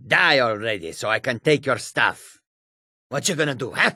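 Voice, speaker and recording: An actor playing a rough, greedy cat-folk bandit in a fantasy video game, with coarse, clipped, grumbling speech. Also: male voice, mid-range voice, lively intonation, normal speed, pushed and bright, no room sound, studio quality. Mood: aggressive, taunting.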